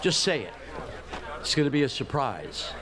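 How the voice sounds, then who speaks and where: A man's voice: mid-range voice, very animated, moderate pace, crowd noise. A man hosting a live stage event, giving introductions in a joking, conversational way. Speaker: event host.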